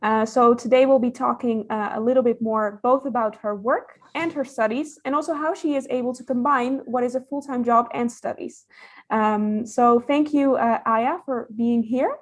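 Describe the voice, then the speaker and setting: A woman hosting an online career event and interviewing a guest, speaking in a measured way with many hesitations and fillers. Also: fairly high pitch, fairly even intonation.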